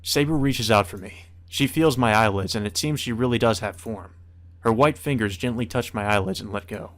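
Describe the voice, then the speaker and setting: A man's voice: on the low side, normal speed. A man reading visual novel narration aloud as a let's player, with casual commentary.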